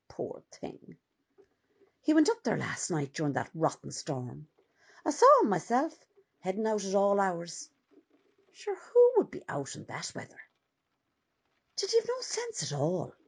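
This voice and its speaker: A woman playing a nosy older Irish village gossip in a radio play, with an Irish accent, husky and falsely pitying. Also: mid-range voice, a little mellow, exaggerated voice. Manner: gossipy, relishing, drawn-out.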